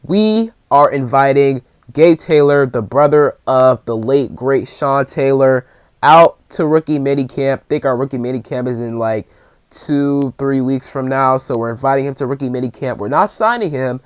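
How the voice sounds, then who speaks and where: A young man talks through football news in a relaxed, conversational way and doubles up words when he wants to stress a point. His voice sits at an ordinary middle pitch. The sound is close and dry, with no room around it. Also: normal speed, smooth, dry recording. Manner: conversational, repeats words for emphasis. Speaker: fan channel host. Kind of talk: casual sports commentary.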